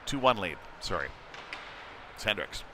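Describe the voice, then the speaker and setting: A man's voice: on the low side, sing-song, unhurried. Male hockey commentator over noisy rink sound. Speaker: play-by-play commentator. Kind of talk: live ice hockey commentary. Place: indoor ice rink. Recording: noisy rink ambience behind voice.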